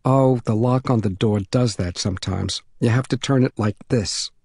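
A man voicing a calm, motherly housewife who patiently and gently reassures a child in an audiobook story. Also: mid-range voice, normal speed, gravelly, breathy edge, dry recording, studio quality.

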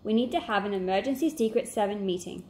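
A woman's voice: medium pitch, fast talker, a little mellow, lightly acted. A woman voicing a sceptical, serious boy who leads a children's detective club in a storybook. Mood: urgent.

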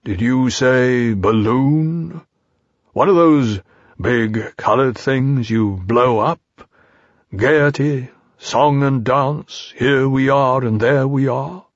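A man voicing a gloomy, resigned old male donkey in a storybook reading, with a slow, droning, mournful drawl. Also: medium pitch, no room sound. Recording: dry, slightly dull recording.

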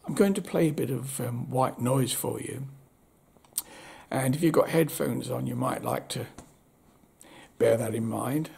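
A man in his seventies giving a casual, unscripted review with wry understatement, in a husky voice. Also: mid-range voice, unhurried, pauses often, a little mellow. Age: 70s. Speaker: audio equipment reviewer. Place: indoor room at home.

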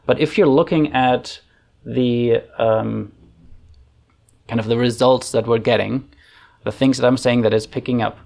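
A man in his thirties with a German accent walks through a software tutorial in a relaxed, chatty way, thinking aloud with plenty of fillers. His voice sits at a middle pitch with a gravelly edge. He speaks at home into a desk microphone. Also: measured pace, pauses often. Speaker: online facilitation trainer. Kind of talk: casual screen-share tutorial. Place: home room. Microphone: desk microphone on arm.

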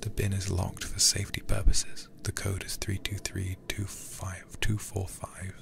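A man streams a horror game in ASMR style, right up on the microphone. His voice is deep and thick with fry, mostly sinking into a breathy whisper. He reads deliberately and slips in soft, intimate asides to his audience. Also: completely flat delivery, music in the background. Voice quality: breathy whispering, low and gravelly. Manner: slow, deliberate reading with intimate asides to viewers. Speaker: livestreamer playing a horror game. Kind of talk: ASMR game stream, softly reading game text aloud and commenting. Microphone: close microphone.

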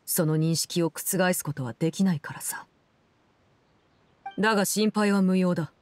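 An actress playing a cold, commanding woman in an anime game, speaking in a measured, formal, detached way. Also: fairly low pitch, a little mellow, natural voice. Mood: calm, resolute. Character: cold, composed, commanding female Fatui Harbinger in her prime. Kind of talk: Japanese anime game voice acting, solemn storytelling.

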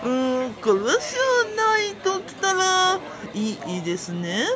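A woman's voice: sits high, very animated, unhurried, a crowd in the background. A woman playing a polite, timid but playful young boy in a light radio drama, hesitant and giggly. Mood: amused protest. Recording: music behind the voice.

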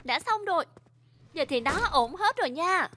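A woman's voice: very high voice, expressive, moderate pace, noisy background. A woman voicing a cheerful, kindly young female mechanic in a children's cartoon dub.

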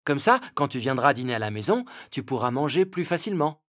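A lively cartoon fox. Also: male voice, medium pitch, fairly quick. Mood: cheerful. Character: cheerful greedy male fox, friendly neighbor. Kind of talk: French children's cartoon dubbing. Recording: music bed under voice.

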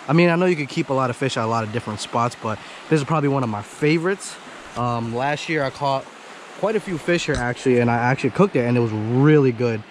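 A young man chatting casually to his viewers in a laid-back, slangy way, like an outdoor vlog host, recorded outside on an action camera. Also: mid-range voice. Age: young adult. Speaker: vlog host, hobby angler. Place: outdoors on a rocky riverbank. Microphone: action camera at arm's length or body-held. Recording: clearly noisy outdoor recording, rushing river. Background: rushing stream water.

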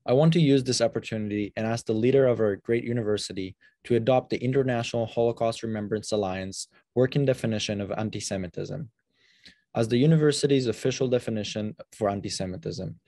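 A man's voice: lowish voice, fast, dark tone, no echo, clean studio sound. A young man from Israel, a student leader, giving an earnest prepared speech with small restarts, recorded indoors on a video call. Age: young adult. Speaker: student president. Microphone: webcam on a video call.

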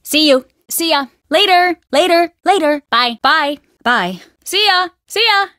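A woman's voice: very high voice, expressive, a little slow, bright, forceful tone, no echo, full character voice. A woman voicing a bossy, competitive character at a dig site who explains a board game. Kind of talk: video game voice lines.

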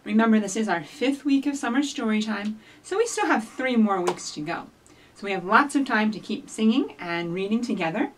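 A middle-aged woman hosting a children's storytime, giving simple instructions and reading rhymes to young children in an animated way, recorded by a camera a few feet away. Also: medium pitch, normal speed, slight background noise. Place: small indoor office or library room with block walls. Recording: distant camera microphone.

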